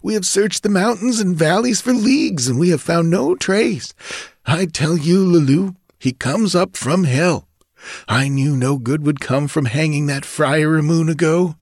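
A man's voice: fairly high pitch, unhurried, dry recording, studio quality, voice pushed up. A man voicing a grim, superstitious bandit henchman, sullen and insistent, in an audiobook adventure. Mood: sullen dread.

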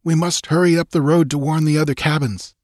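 A man's voice: fairly high pitch, steady tone, a little mellow, dry recording, studio quality, pitched above the performer's own voice, natural voice. A man doing an urgent young frontiersman. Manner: hurried, firm warnings.

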